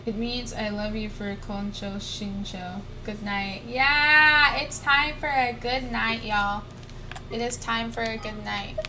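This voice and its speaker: A woman's voice: a little high, fairly even intonation, slow, background noise. A young woman chatting casually as she livestreams a video game, in an informal, conversational style. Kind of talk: casual livestream chat over gameplay. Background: background music.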